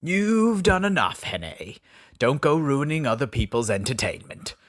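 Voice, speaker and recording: A man playing a gruff, stern police officer in an audio play, barking orders in a husky voice. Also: medium pitch, sing-song, normal speed, background noise, close to an everyday voice.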